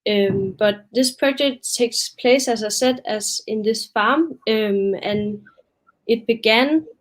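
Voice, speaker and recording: Slow, hesitant Danish woman. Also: fairly high pitch, low-quality recording. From Denmark. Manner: hesitant, many fillers, a bit nervous. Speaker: photographer presenting her project. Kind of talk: webinar presentation. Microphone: video call.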